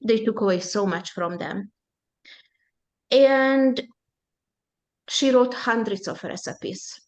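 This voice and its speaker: A woman in her seventies whose first language is Hungarian, giving a slow, deliberate personal testimony as a presenter at a support group. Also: a little high. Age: 70s.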